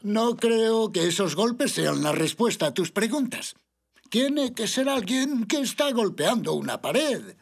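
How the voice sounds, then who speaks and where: A woman's voice: on the high side, expressive, unhurried, husky, heavily acted. A woman voicing a helpful, practical grown-up zebra in a children's cartoon. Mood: helpful, reassuring. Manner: reasoning, reassuring explanations. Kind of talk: animated children's cartoon dub. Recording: music behind the voice.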